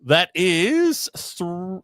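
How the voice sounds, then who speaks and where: A man's voice: high-pitched, very animated, extremely slow, fairly bright tone, no echo, clean studio sound. A man with a broad Western Sydney Australian accent, chatting at length as a guest on a video-call interview. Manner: chatty, explains at length with fillers. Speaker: guest, author and radio host.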